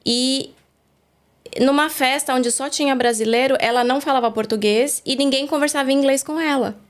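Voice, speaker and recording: A woman's voice: high voice, steady tone, moderate pace. A woman from Brazil, the guest on a faith interview, telling her story in an earnest, reflective way, recorded in a studio.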